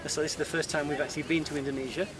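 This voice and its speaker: A man's voice: fairly high pitch, little pitch movement, rapid-fire. Chatty British man, far from the mic. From United Kingdom. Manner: chatty, friendly, hesitant with fillers. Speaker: tourist interviewee. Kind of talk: casual street interview. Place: outdoors at a busy tourist site. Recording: distant mic with crowd noise. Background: crowd chatter.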